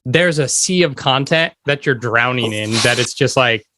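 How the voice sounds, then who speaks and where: A man's voice: mid-range voice, moderate pace, background noise. A middle-aged man hosting a podcast, asking informal, rambling questions in a casual chat. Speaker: podcast host interviewing a musician.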